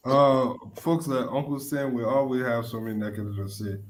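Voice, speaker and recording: Male caller, mid pitch, emphatic and insistent. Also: steady tone, normal speed, a little hiss or hum, poor audio. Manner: emphatic, builds to insistent demands. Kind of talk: heated call-in debate.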